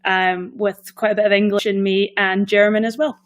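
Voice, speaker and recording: A woman's voice: mid-range voice, level tone, moderate pace. Chatty Scottish woman hosting. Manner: chatty, uses fillers. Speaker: podcast host. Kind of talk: casual interview chat.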